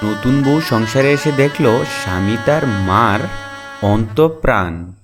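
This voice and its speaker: A man's voice: lowish voice, animated, slow. A man reading scripted narration as the storyteller of a folk tale. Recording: music behind the narration.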